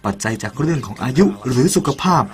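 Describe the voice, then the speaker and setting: A man's voice: mid-range voice, expressive, fast talker. A man narrating a parable in an earnest, persuasive way and speaking directly to the listener. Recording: soft music behind the voice.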